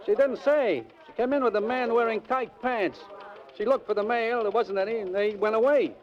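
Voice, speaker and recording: High-pitched young-sounding male office clerk, acted, breezy, wry, vintage radio drama, muffled sound. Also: moderate pace, natural voice. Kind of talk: 1940s radio acting. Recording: muffled 1940s radio recording.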